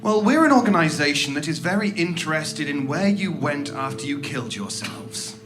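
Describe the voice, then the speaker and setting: Male actor as smug, tactless agent, fairly high voice, glib with stammers, horror audio drama. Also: big pitch swings, normal speed. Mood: smug, impatient. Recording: background music under the voice.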